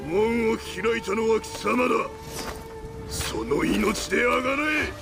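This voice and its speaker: Defiant dying samurai lord, japanese accent, gravelly, loud music behind. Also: male voice, sits high, lively intonation, normal speed, exaggerated voice. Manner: bitter, proud, shouting at the end. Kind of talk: game cutscene acting.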